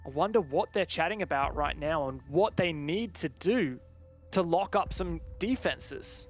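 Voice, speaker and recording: A man doing live play-by-play esports commentary with a dramatic delivery that builds excitement around the action. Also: on the high side, unhurried, faint music behind.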